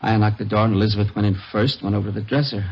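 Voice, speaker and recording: A gravelly, shaken husband. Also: male voice, on the low side, steady tone, very fast. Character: shaken husband recounting his wife's shooting to police. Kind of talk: 1950s radio drama acting. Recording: dull old radio recording.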